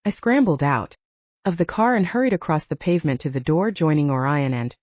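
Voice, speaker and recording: A woman's voice: sits low, animated, a little fast, darkish tone, no room sound. A woman narrating an audiobook in a dramatic, suspenseful scripted style, on slightly muffled audio.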